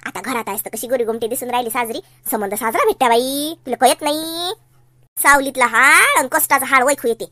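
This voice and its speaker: A woman's voice: sits high, brisk, sharp tone, no echo, studio quality. A woman voicing a fretful, doting middle-aged mother in a cartoon comedy, with a Varhadi Marathi accent and a homely, chiding way of talking. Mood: chiding, coaxing.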